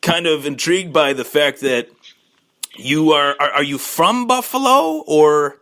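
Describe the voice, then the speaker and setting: A man's voice: fairly high pitch, expressive, a little slow, pushed and bright. A man hosting a casual sports podcast, chatting with a guest and often repeating and restarting his words. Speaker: podcast host.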